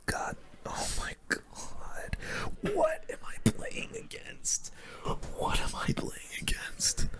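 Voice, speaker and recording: A streamer giving gaming commentary in a hushed, unvoiced ASMR whisper, breathy and close to the microphone, with informal, slangy phrasing. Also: slow.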